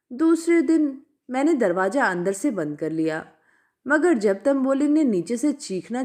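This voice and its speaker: High-pitched, animated woman storyteller. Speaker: story narrator. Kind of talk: expressive scripted literary narration.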